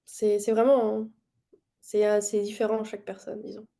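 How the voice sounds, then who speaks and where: A woman's voice: medium pitch, monotone, normal speed, vocal fry, dark tone. A thirty-year-old woman with a French accent from France, chatting as an interview guest and often rephrasing as she goes. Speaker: podcast guest, engineer and investing content creator. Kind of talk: casual interview chat.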